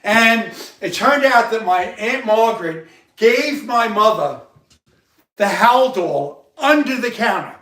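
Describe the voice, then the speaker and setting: A man with a loud voice hosting a livestream, telling a rambling personal story with halting restarts and repeated words. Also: high voice, lively intonation. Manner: repetitive, halting, many restarts. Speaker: livestream host. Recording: roomy sound, far from the mic.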